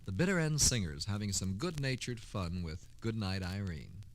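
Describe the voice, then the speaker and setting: A man's voice: on the low side, lively intonation, normal speed. A man hosting a 1960s public service radio programme with a polished, scripted delivery. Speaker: radio host.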